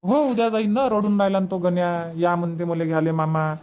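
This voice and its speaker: A man's voice: high-pitched, flat delivery, fairly quick, a little mellow, clear, resonant tone, close to an everyday voice. A practical village uncle from a cartoon comedy , performed by a man. He speaks in a rural Varhadi Marathi dialect, as in everyday family dialogue. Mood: explaining.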